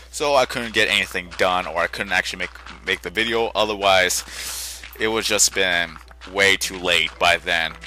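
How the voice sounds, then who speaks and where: A tired young man talks through a game in a rambling, self-correcting way. His voice sits very low yet rings bright and forward, and it stays soft and quiet. Game music plays faintly underneath. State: tired from little sleep. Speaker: gaming channel host. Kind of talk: casual gameplay commentary.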